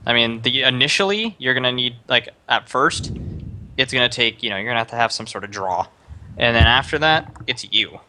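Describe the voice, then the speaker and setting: Young man, streamer, bright voice, faint hiss behind, rambling advice, casual chat. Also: mid-range voice, expressive, a little fast. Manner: rambling, many fillers and restarts, advising.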